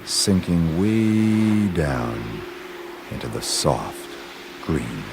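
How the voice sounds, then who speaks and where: An actor as the measured, atmospheric storyteller of a scripted radio drama. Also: male voice, low-pitched, sing-song, gravelly, music in the background, heavily acted. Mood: slow, atmospheric. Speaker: narrator.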